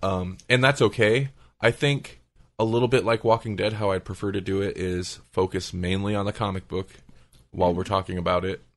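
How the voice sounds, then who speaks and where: A man's voice: sits low, fairly even intonation, normal speed. A man hosting a podcast, talking casually and conversationally with his co-hosts. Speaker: podcast host.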